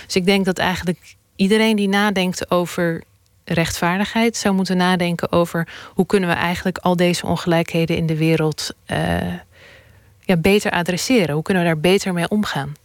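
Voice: medium pitch, fairly even intonation, moderate pace, dry recording. An interview guest reasoning step by step in a reflective, argumentative way, like an academic in a studio conversation.

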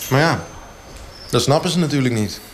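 A man playing a blunt, oblivious fitness coach who explains things haltingly and matter-of-factly in a mock radio interview. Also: mid-range voice, lively intonation, slight background noise, lightly acted. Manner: halting, hesitant, matter-of-fact.

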